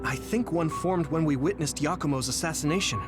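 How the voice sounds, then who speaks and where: A man's voice: on the high side, brisk, music in the background, lightly acted. A man playing an earnest young soldier hero in a dubbed video game cutscene. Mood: earnest, resolute.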